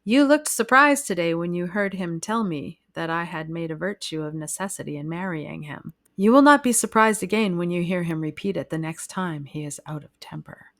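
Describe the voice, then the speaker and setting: A woman voicing a frightened young wife who speaks wearily and calmly of her troubles. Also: fairly low pitch, normal speed, hardly any pauses, dry recording, studio quality. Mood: bitter. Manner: wearily calm, pleading.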